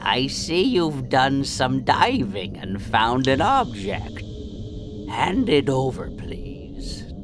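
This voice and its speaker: A man's voice: mid-range voice, huge pitch swings, takes it slowly, over music. A man playing a crooked sheriff in a sci-fi audio drama, smug and menacing, with a mock-polite, taunting drawl. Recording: noisy with ambient sound behind.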